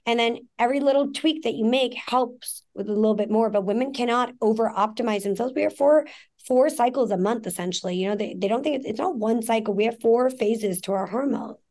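A woman's voice: a little high, a little fast, darkish tone. Frank woman guest, talks in long runs. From Pakistan. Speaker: podcast guest. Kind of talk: candid conversational interview.

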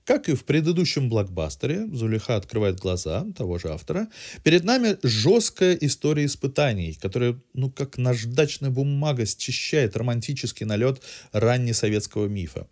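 A man's voice: medium pitch, sing-song, moderate pace, a little mellow. A man hosting a podcast, delivering a semi-scripted cultural review in erudite, literary phrasing.

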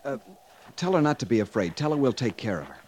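A man's voice: medium pitch, big pitch swings, fast, darkish tone, a little hiss or hum, natural voice. Man as a stiff young cavalry lieutenant. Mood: urgent, concerned. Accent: American. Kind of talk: vintage radio western acting.

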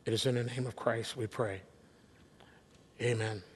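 Male pastor, slightly worn voice, slow halting delivery, long church reverb. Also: medium pitch, fairly even intonation, breathy edge. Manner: halting, many restarts and fillers, soft reflective prayer. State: losing his voice a little. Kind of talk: sermon. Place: church sanctuary.

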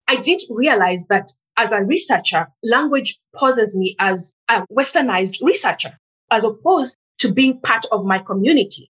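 A woman from Kenya, a researcher, giving a measured, reflective interview answer and building her points in lists. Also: fairly high pitch, fairly bright tone, breathy edge, muffled recording.

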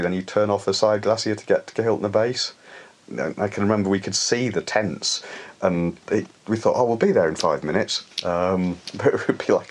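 Low husky British climber, unhurried. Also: male voice. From United Kingdom. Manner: hesitant, many restarts and soft laughs. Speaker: alpinist guest. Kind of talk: reflective conversational storytelling.

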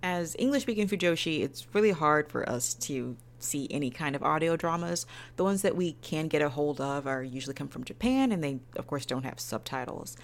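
A woman's voice: sits low, lively intonation, brisk, hardly any pauses. A woman hosting a podcast review in an enthusiastic, emphatic and playful way. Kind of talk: semi-scripted podcast review.

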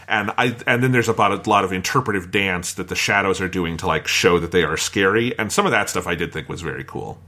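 A man's voice: deep voice, fast talker, few pauses, bright, forceful tone. A man hosting a casual podcast discussion, describing and explaining as he goes. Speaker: podcast host.

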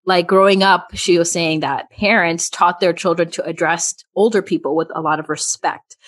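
A woman co-hosting a podcast, talking in a lively, chatty way with frequent laughs. Her voice sits a bit low, and the sound is close and dry. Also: moderate pace, no room sound. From United States. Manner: animated, chatty, laughs often. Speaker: podcast co-host. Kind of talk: casual chat.

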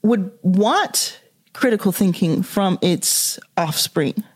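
A woman's voice: mid-range voice, lively intonation, slow, husky. A woman hosting a podcast interview, thinking aloud in a casual, searching way with lots of "like" and "you know.". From Dallas, Texas, US. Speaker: podcast host.

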